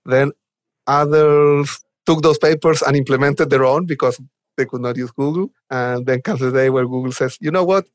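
A man's voice: medium pitch, no echo. A man, chatting informally and enthusiastically as an interview guest. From Chile. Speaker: podcast guest, software developer advocate. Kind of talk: conversational interview answers.

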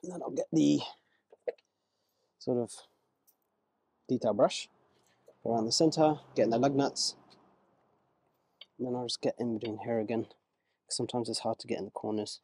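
A man in a casual vlog, talking through his car wheel cleaning outdoors in a relaxed, rambling way, with small self-corrections, his voice moving closer and farther from the camera. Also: medium pitch, normal speed, pauses often, slightly rough. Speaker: car rental host. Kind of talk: casual vlog narration while working.

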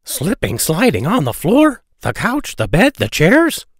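Male narrator for children's rhymes. Playful and expressive, big pitch swings, measured pace, very dry sound. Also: husky, no echo. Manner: playful, deliberate, sing-song delivery of rhyming verse. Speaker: children's book read-aloud narrator. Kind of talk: expressive children's poetry storybook reading.

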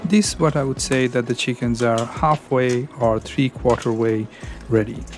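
A man's voice: mid-range voice, fairly even intonation, unhurried, music in the background. Hesitant man narrating a cooking video. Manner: hesitant, many fillers. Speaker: cooking channel host. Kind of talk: casual cooking voice-over.

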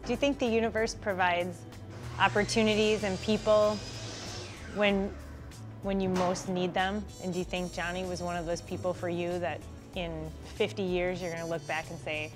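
A woman's voice: on the low side, little pitch movement, moderate pace, music in the background. A woman in her thirties asking encouraging questions in a casual interview in a workshop. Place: furniture workshop.

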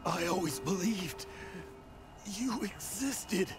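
An actor playing a weary, guilt-ridden old drunkard adventurer in a video game, gravelly and heavy with emotion. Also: male voice, high-pitched, slow, background noise. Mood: awed, moved. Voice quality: gravelly, creaky. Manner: heavy, sighing, emotional delivery. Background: game music.

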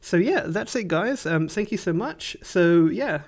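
A man hosting his channel, giving a relaxed, casual update over a screen recording, talking with many fillers and restarts. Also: high voice, unhurried, vocal fry. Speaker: channel host.

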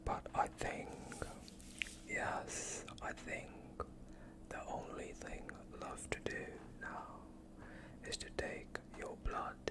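Man whispering as a slow, soothing vampire doctor. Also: natural voice. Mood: calm, reassuring. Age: young adult. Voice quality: breathy, unvoiced whisper. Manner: slow, hushed, reassuring, close to the listener. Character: soothing, sinister male vampire doctor hungry for blood. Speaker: ASMR performer. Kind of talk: whispered ASMR roleplay.

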